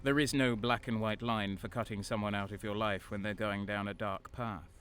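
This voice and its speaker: A man's voice: on the low side, steady tone, moderate pace, a bit bright, clear, resonant tone, faint music behind, lightly acted. A man playing a bitter, hardened traitor soldier, narrating an audio drama in the first person with a grim, brooding manner. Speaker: narrator and protagonist.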